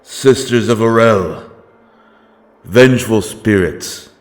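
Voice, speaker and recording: Man acting as slow, low-voiced grim holy avenger. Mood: commanding, vengeful. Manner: solemn, archaic, deliberate dramatic delivery. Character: grim, righteous wandering holy avenger of dark fantasy. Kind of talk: dark fantasy audio roleplay.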